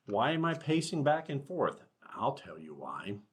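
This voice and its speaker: A man's voice: medium pitch, very animated, unhurried, slightly rough, voice pushed up. An American man voicing a frustrated, fretful snake from a children's storybook in an exasperated, questioning way.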